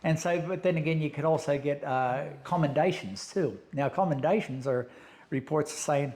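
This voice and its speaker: A man's voice: on the high side, moderate pace, a little mellow. A man, a guest telling stories in a blunt, profane, casual way and laughing often. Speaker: interview guest. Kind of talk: casual conversational storytelling.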